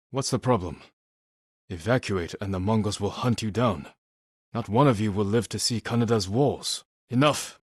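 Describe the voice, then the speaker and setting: An actor playing a stoic, honorable samurai warrior in a video game, weary and grave, speaking in a measured, restrained and protective manner. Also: male voice, on the low side, slightly rough, no room sound, clean studio sound. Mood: grave, resolute, then urgent, commanding. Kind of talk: dramatic video game voice acting.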